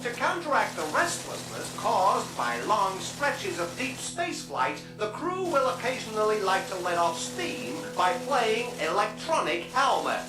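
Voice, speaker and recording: Casting: chirpy, sociable spaceship computer, wildly animated delivery, echoing and distant, radio comedy. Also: female voice, medium pitch, unhurried, few pauses, over music. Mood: gushing, eager. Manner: over-friendly, gushing, cheerily unhelpful.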